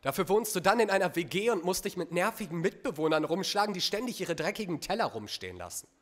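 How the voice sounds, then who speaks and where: A man's voice: high-pitched, very animated, fast talker, a bit bright. A young man performing comedic spoken word on stage at a microphone, with a theatrical delivery and sardonic asides. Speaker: poetry slam performer. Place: theatre stage before a live audience. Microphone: microphone on a stand.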